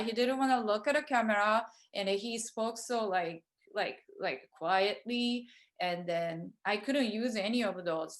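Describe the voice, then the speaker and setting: Japanese-born woman artist on a video call, hesitant and full of fillers, a bit high-pitched. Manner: hesitant, many fillers and restarts. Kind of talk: virtual studio visit talk.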